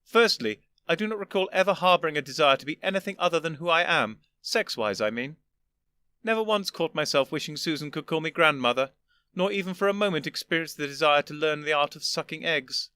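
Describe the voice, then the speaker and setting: A man doing a condescending elderly gentleman. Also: on the high side, expressive, brisk, smooth, dry recording, studio quality, voice pushed up. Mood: lecturing. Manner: precise, lecturing, wearily patient.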